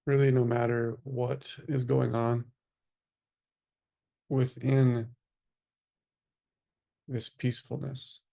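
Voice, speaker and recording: A man leading a guided meditation as a teacher, speaking in hushed, sparse phrases with long pauses. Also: medium pitch, level tone, creaky.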